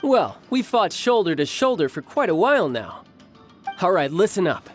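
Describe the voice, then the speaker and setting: Cocky, lively young male warrior. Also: high voice, normal speed, faint music behind. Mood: playful, boastful. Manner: breezy, confident, casual. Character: cocky, easygoing young male warrior who enjoys battle. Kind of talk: anime game voice acting.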